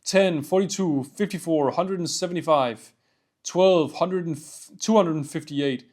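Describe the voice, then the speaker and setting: A man's voice: a little high, measured pace, a little mellow. A young man from Denmark with a Danish accent, talking casually to camera in a rambling vlog, full of "like" and "bro" and swearing. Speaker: vlogger.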